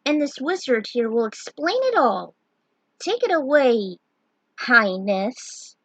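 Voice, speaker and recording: Lady doing a perky elf girl, young n cheeky, high pitched, fantasy story. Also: sing-song, slow pace, fairly bright tone, slightly rough, no echo, heavily acted. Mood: chirpy. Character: young elven girl mage, beaming, cheeky and casual.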